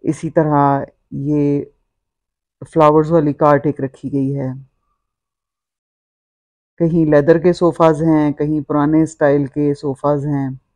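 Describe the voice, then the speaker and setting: A woman's voice: low voice, little pitch movement, takes it slowly, long pauses, warm tone, no echo. A middle-aged woman, narrating a walk-through vlog in a descriptive, explanatory way. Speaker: vlogger.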